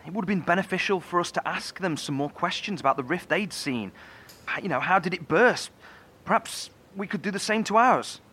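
A man's voice: high-pitched, lively intonation, fairly quick, bright, forceful tone, breathy edge, exaggerated voice. A man playing a fretful, inquisitive bunker dweller in a horror audio drama, talking in a brooding, questioning way. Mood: frustrated.

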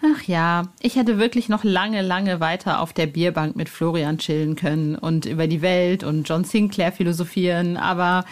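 A woman's voice: fairly low pitch, steady tone, moderate pace, few pauses. A woman hosting a podcast in a casual, playful and informal way. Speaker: podcast host.

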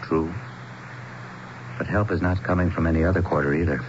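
A man acting as a cautious, loyal town mayor in a 1970s radio drama. He speaks formally and sincerely, in measured phrases. The recording is dull and noticeably noisy. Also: low-pitched, steady tone, close to an everyday voice. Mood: practical, cautious. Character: earnest, loyal small-town male mayor, cautious and supportive.

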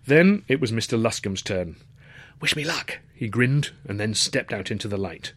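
A man's voice: on the low side, lively intonation, moderate pace, no room sound. A man narrating a comic novel in the first person, with wry, literary phrasing. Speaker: author and narrator.

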